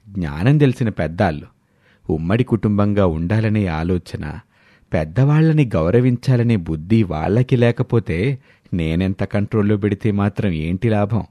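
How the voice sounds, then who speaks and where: A man voicing an older village family head who speaks slowly and gravely in a storybook. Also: lowish voice, dark tone, no room sound, pitched below the performer's own voice, lightly acted. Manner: slow, grave, measured.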